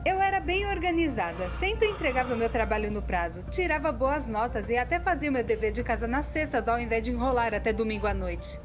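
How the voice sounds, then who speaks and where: A woman voicing a self-deprecating young animator in a Brazilian-accented cartoon story narration, expressive and self-mocking. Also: on the high side, brisk, few pauses, music in the background, natural voice. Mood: wry, reflective. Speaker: fan-dub narrator of an animated story video. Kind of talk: scripted first-person animated storytelling.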